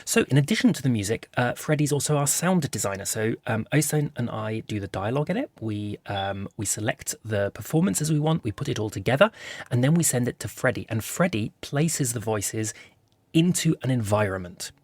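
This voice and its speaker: Man, medium pitch, podcast host, casual conversational delivery, dry studio sound. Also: animated, brisk, a little mellow, dry recording. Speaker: host and writer of an audio drama.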